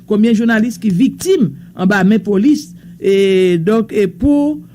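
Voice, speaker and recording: A man's voice: high-pitched, takes it slowly, mellow. A man with a Haitian accent reading radio news in a loose, hesitant style. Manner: reads bulletins in a loose mix of French and Creole, with hesitations. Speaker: news presenter.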